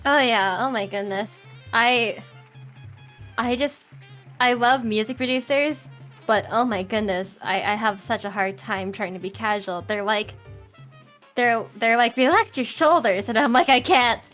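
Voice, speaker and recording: A young woman with a high, bright-sounding voice that runs loud, talking casually in an online interview. She chats freely, full of fillers and restarts, over a faint bed of music. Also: measured pace. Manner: chatty, many fillers and restarts. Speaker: guest. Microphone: home streaming mic with EQ and compressor.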